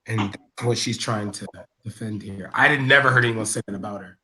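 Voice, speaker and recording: A man's voice: fairly low pitch, brisk, bright, forceful tone. A man hosting a heated voice chat, blunt and mocking, often talking over the others. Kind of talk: heated casual group chat.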